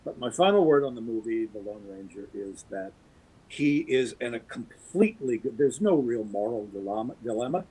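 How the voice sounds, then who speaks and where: Male podcast guest, mid-pitched, enthusiastic and rambling, with lots of fillers and restarts. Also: lively intonation, normal speed, warm tone, breathy edge, rough recording. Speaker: guest actor. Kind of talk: casual podcast chat.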